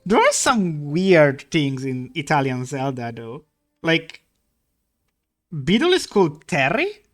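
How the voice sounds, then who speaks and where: A man's voice: a little high, wildly swooping pitch, takes it slowly, a bit bright, faint music behind. A man streaming live, chatting casually and rambling while he draws. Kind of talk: casual chat while drawing.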